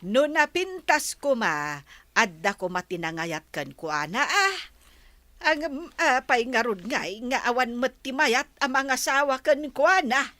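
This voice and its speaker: A woman's voice: sits high, sing-song, measured pace, bright, forceful tone, no room sound, exaggerated voice. A woman playing an older, nosy but sympathetic neighbour in a Philippine radio drama. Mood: indignant.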